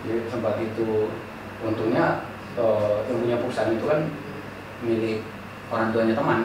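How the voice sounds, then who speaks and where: A man's voice: lowish voice, fairly even intonation, moderate pace, background noise. A man from eastern Indonesia hosting a casual group chat, asking questions with lots of fillers and restarts. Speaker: host. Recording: echoey room, mic at a distance.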